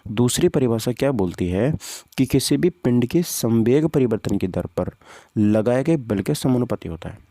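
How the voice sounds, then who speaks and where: A man's voice: mid-range voice, expressive, normal speed, mellow, no room sound, studio quality. A man teaching an informal physics exam-prep class, conversationally repeating points and posing questions to students. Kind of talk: informal exam-prep lesson, walking through questions and answers.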